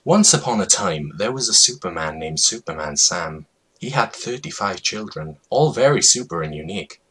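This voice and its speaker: A man giving a mock-dramatic reading of a comedic story in an over-the-top, deliberately absurd way, drawing out words. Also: deep voice, animated, a bit bright, a little hiss or hum.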